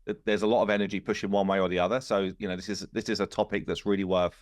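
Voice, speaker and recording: Male podcast host, low voice, dry close sound, informal explainer who slips into imagined quotes. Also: little pitch movement, racing, no room sound. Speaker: game studio founder and podcast host. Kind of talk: casual podcast discussion.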